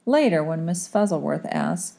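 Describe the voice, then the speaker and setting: A woman reading a storybook aloud as a teacher would, in expressive, dramatic children's narration. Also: fairly low pitch, moderate pace, creaky.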